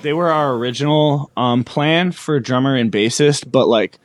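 A young musician from Alberta answers questions in a loose, rambling way, full of fillers. His voice is mid-pitched and sounds dry and close. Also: moderate pace, no room sound. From Alberta, Canada. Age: young adult. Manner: laid-back, rambling, with many fillers. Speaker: musician guest. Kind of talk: casual interview chat.

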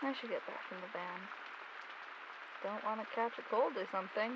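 Dull sound and loud noise surround an actress playing a sardonic young woman of average pitch. She grumbles and swears in a natural, conversational audio-drama style. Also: a little fast, over a noisy crowd, natural voice. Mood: grumbling. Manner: muttering complaints, sarcastic.

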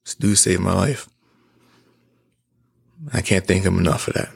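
Man, 20s, podcast host, low gravelly voice, preachy emphatic monologue. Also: flat delivery, a little slow. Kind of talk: impassioned personal monologue.

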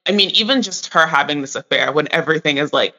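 Bright-voiced laughing man. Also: fairly high pitch, fast, low-quality recording. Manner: laughs often, lots of likes. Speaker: podcast co-host. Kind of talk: casual chat.

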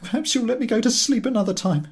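A man's voice: very high-pitched, fast, pitched above the performer's own voice. A man voicing a scraggy, woebegone invalid railway passenger in a story reading, moaning and querulous with indignant complaint.

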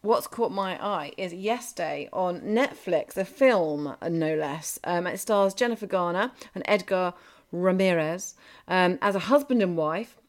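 A woman's voice: lowish voice, unhurried. A woman co-hosting a TV and film review show, chatting casually with many fillers and restarts.

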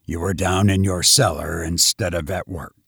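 A man voicing a gruff, heavyset middle-aged police commissioner who speaks tersely and slowly. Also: fairly even intonation, a bit bright, dry recording, studio quality, full character voice. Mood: grave. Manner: terse, low, measured.

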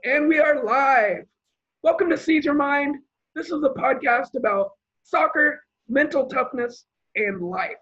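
A man's voice: very high-pitched, measured pace, muffled recording. A man hosting a casual podcast interview, introducing his guest and asking loose questions with fillers. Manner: relaxed, reads out guest background with fillers. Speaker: podcast host.

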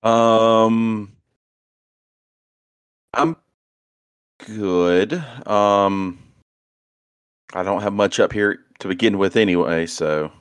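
A man's voice: on the low side, steady tone, drawn-out words, pauses often, smooth, voice pushed down. A man voicing a laid-back, oblivious young man at a party, talking in an easygoing drawl.

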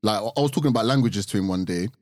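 A man's voice: lowish voice, racing, a little mellow, no echo, studio quality. A man, talking casually on a podcast in a thoughtful way, with hesitant restarts.

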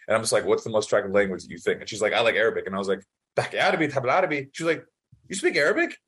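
A man's voice: lowish voice, breathy edge. A young man, born in Italy, telling stories in a casual interview with lots of fillers and acted-out quotes. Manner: fast, animated storytelling full of fillers. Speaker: podcast guest.

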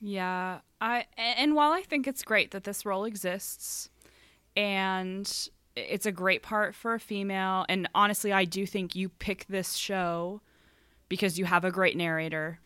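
A woman's voice: medium pitch, measured pace, smooth, no echo. Opinionated woman podcaster, dry close sound. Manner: opinionated, gets animated and emphatic. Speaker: podcast co-host. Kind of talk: casual chat.

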